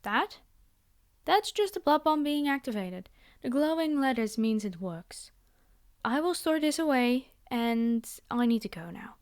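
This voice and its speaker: Vampire captor female performer, high-pitched, bossy yet coaxing and condescending, scripted ASMR roleplay addressed to the listener. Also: expressive, unhurried, a little mellow. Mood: brisk, softened. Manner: bargaining, condescending, reassuring, speaking directly to the listener. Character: commanding vampire girl, teasing, coaxing captor of a human pet.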